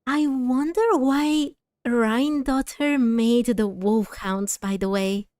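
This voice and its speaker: A woman's voice: on the high side, takes it slowly, a little mellow, clear, resonant tone, dry recording. A woman streamer giving casual gameplay commentary, musing and thinking aloud close to the microphone. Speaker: streamer playing a story game.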